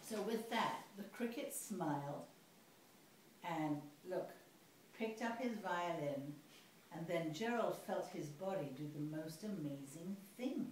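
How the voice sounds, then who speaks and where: Slow, echoey woman reading a picture book. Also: lowish voice. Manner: expressive, playful. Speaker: storyteller reading to a child. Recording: echoey room, distant mic, faint noise.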